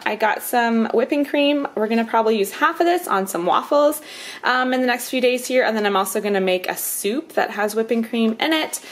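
A woman's voice: fairly high pitch, a little fast. A woman in her thirties chatting casually through a grocery haul at home, laughing often and filling with um and like. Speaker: vlogger, mother of five. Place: home kitchen and living room. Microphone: handheld camera at arm's length.

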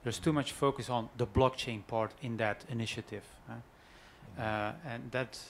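A male panelist explains his views at a steady pace, pausing on fillers. A faint hum sits behind his voice. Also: medium pitch, gravelly. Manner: thoughtful, explanatory, frequent fillers. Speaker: conference panelist. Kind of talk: panel discussion.

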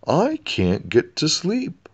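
A man's voice: a little high, wildly swooping pitch, breathy edge, voice pushed up, full character voice. A man voicing a weary, plaintive bear king who cannot sleep, in a children's storybook. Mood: wailing.

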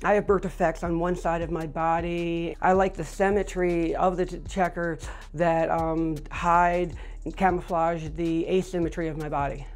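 A middle-aged man with a high voice, speaking matter-of-factly in an interview, with frequent fillers. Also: flat delivery, normal speed, smooth. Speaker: record holder. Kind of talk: interview monologue. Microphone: lapel.

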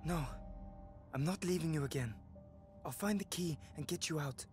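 A man's voice: on the high side, moderate pace, a little mellow, natural voice. A man playing a brave, anxious young forest boy in a video game, speaking earnestly and urgently. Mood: anxious, resolute. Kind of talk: video game cutscene acting. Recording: music behind the voice.

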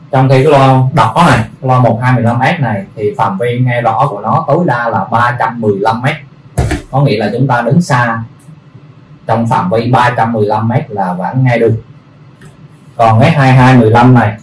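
Man demonstrating products in a roomy, distant recording. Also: medium pitch. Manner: explanatory, repetitive, halting with word searching. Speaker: product presenter. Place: indoor room full of audio equipment. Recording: roomy, distant mic, faint background noise.

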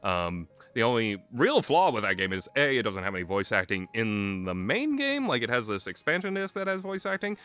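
A man's voice: mid-range voice, big pitch swings, moderate pace, hardly any pauses, fairly bright tone, clean tone. A young man giving casual, unscripted commentary as a reviewer, hesitant and self-deprecating in the way he talks. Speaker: visual novel reviewer. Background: music.

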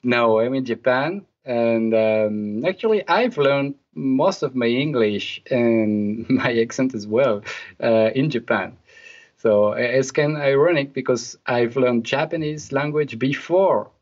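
A young man speaks English with a French accent, pausing often with ums and uhs and slipping in playful remarks. His voice sits at an ordinary pitch. The sound is dull and lo-fi, like a remote call. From France. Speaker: guest. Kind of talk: casual interview.

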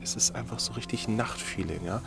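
A young man whispering to the camera under a tarp at night, hushed and rambling. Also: fairly low pitch, a little fast, vocal fry, noisy background. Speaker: outdoor vlogger.

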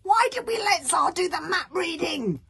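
Woman as a wailing, hysterical young boy, pitched high. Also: animated, moderate pace, gravelly, a bit bright, a little hiss or hum, full character voice. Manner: wailing, panting. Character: frantic, hysterical frightened young boy complaining to companions.

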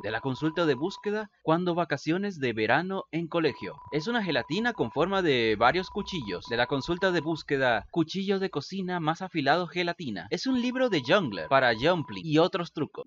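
A woman reading as the deadpan, explanatory narrator of a quirky video game fan dub. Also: low-pitched, highs cut off, a little hiss or hum.

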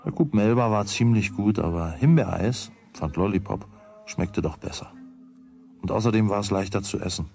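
A man's voice: fairly low pitch, normal speed, gravelly, warm tone, faint music behind. A man narrating a children's radio play in a scripted storytelling style.

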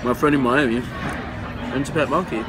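Young man talking over loud ambience. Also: mid-range voice, brisk, a crowd in the background. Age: young adult. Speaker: vlogger. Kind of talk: casual chat. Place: busy airport. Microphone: handheld camera. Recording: noisy handheld camera audio in public spaces. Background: loud travel ambience.

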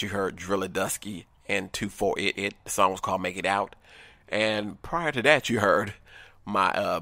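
A man's voice: lowish voice, vocal fry, bright, forceful tone, dry recording. A man hosting a radio show from the area, with a laid-back, casual interviewing manner. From Evanston, Illinois.

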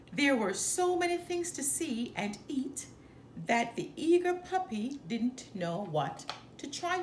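Female storyteller for a picture-book read-aloud: higher-pitched, expressive, recorded in an echoey living room. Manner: careful, expressive reading with deliberate phrasing. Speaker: storyteller, channel host. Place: indoor living room by a fireplace. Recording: roomy echo, some background noise.

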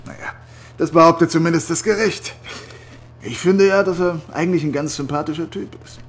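An actor playing an affable but evasive psychiatric night nurse in a horror radio drama, overly reassuring and hesitant. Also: male voice, on the high side, steady tone, fairly quick, close to an everyday voice. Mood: chatty.

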